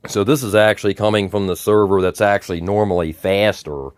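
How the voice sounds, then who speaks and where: A man, a bit raspy from just waking up, rambling through casual commentary with lots of fillers and repeated words. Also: fairly low pitch, fairly even intonation, a little fast, dry recording, studio quality. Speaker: tech vlogger. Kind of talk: casual screen-recorded commentary.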